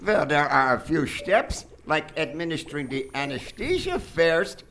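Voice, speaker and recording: Mad brain surgeon: high bright voice, mock-polite menace, live radio play, faint noise. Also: male voice, big pitch swings, a little slow, heavily acted. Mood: smug, boastful. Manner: theatrical, pompous, mock-polite. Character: gleeful mad brain-surgeon doctor, courteously menacing villain. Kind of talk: live stage radio drama acting. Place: theater stage, live performance.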